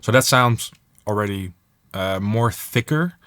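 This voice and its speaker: A man's voice: fairly low pitch, a little slow. Man casually presenting a music production tutorial.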